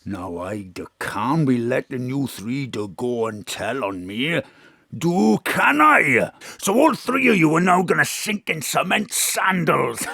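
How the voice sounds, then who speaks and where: An actor playing a menacing, slangy gangster with a rough, gravelly voice and a South Wales Valleys accent in an audio drama. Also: male voice, fairly high pitch, pushed and bright, dry recording, studio quality, heavily acted. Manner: slangy, threatening, drawn-out delivery. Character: menacing male Welsh gangster with a gun.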